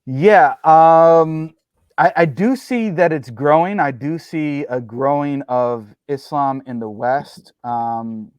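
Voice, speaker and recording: A man's voice: fairly high pitch, takes it slowly, smooth, dry recording, dull audio. A man from New Jersey talking as an interview guest, explaining his views carefully with many ums and uhs and careful qualifications.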